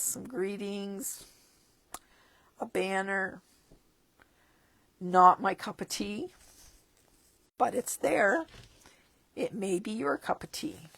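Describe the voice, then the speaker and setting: A woman losing her voice talks through a stamping catalog at an unhurried, slow pace. The sound is dry and close with no room echo. She is chatty and drops chuckling asides. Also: medium pitch, fairly bright tone. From Canada. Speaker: stamping demonstrator. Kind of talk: casual catalog walkthrough. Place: tabletop craft desk indoors.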